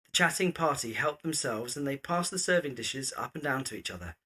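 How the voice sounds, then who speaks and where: A man's voice: mid-range voice, expressive, fairly quick, bright, forceful tone, slight background noise. A man reading scripted scene-setting narration for an audio drama. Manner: descriptive, present-tense stage directions.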